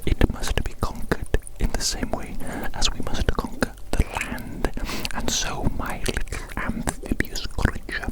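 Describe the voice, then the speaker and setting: A woman's voice: close to an everyday voice, rough recording. A woman playing a gleeful evil mad scientist in an ASMR roleplay, whispering breathily and coaxingly, repeating phrases theatrically as they dote on their creature. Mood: scheming. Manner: slow, repetitive, theatrical, coaxing.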